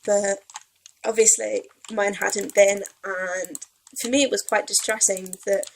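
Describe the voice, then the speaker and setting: Young woman, Welsh accent, rambling, self-deprecating, mid pitch, soft typing in background. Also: flat delivery, a little slow, vocal fry, slight background noise, low-quality recording. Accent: Welsh, with some Yorkshire creeping in. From Wales. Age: young adult. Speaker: let's play gamer. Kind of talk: casual rambling gameplay commentary.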